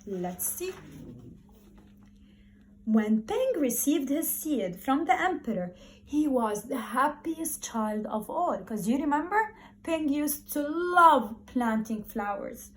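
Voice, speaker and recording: A woman primary school teacher speaking to young children in an encouraging, expressive way, repeating words for emphasis as she talks and reads aloud. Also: sits high, slow pace, a little hiss or hum.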